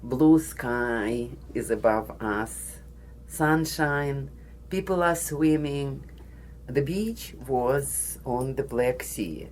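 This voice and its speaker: A woman's voice: rumbling low, a little mellow, slight background noise. A woman from the former Soviet Union with a Russian accent, giving a personal story speech in a studio. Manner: slow, deliberate, with pauses. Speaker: guest speaker.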